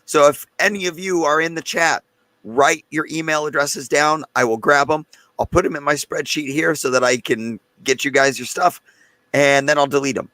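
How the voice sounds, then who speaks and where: A man with a hoarse, fading voice hosting a casual livestream and talking loosely and chattily to his viewers. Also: on the high side, steady tone, normal speed, sharp tone, no room sound. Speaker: host of a live gun channel stream.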